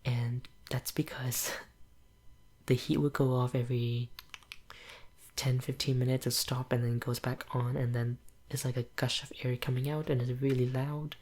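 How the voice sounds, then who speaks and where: A young woman whose first language is Cantonese, chatting in a soft ASMR whisper at home, rambling with lots of fillers. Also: extremely low pitch, level tone, measured pace, slightly rough. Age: young adult. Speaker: ASMR channel creator. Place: home room with green screen.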